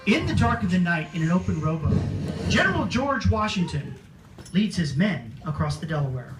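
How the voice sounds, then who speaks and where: A man's voice: sits high, big pitch swings, moderate pace. A man playing a suspenseful, dramatic announcer of an old-time radio serial parody. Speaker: announcer, narrator. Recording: distant echoey stage, music behind.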